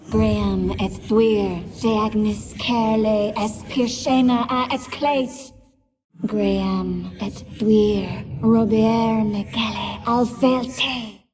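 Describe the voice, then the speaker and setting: A woman voice actor playing a caring, self-assured, quick-witted sorceress in a fantasy video game, with earnest, expressive and clearly articulated delivery. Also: mid-range voice, no room sound. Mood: commanding incantation. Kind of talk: scripted fantasy game dialogue.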